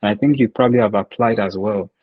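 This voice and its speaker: A man's voice: lowish voice, fast talker, breathy edge, dry recording, phone-quality sound. A man hosting a podcast, talking reflectively with lots of fillers and hesitations. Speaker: podcast host. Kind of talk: casual podcast interview.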